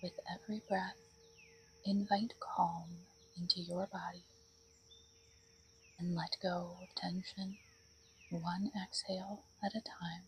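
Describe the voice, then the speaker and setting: A woman leading a guided meditation in a soothing, breathy voice that often drops toward a whisper. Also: lowish voice, level tone, slow, long pauses, a bit bright, slight background noise.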